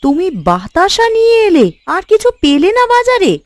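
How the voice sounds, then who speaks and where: A woman voicing a sharp-tongued, teasing younger married daughter in a Bengali cartoon story. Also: extremely high pitch, huge pitch swings, a little slow, no echo, heavily acted. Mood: sarcastic.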